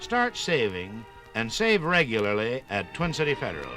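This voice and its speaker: A man's voice: mid-range voice, huge pitch swings, moderate pace, sharp tone, soft background music. A man narrating a scripted 1950s radio documentary in an emphatic, polished announcer's delivery. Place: radio studio.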